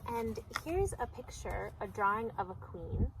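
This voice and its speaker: A woman's voice: medium pitch, expressive, measured pace, vocal fry, noisy background. A woman teacher reading a picture book aloud to young children, speaking simply and putting prompting questions.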